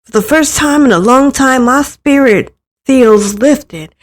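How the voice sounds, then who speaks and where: An insecure eighteen-year-old girl played by a woman tells her story in a visual novel. Her voice sits fairly high, earnest and hesitant, with a defensive, easily hurt edge and the odd stammer. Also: lively intonation, slow. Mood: hopeful. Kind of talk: first-person visual novel reading.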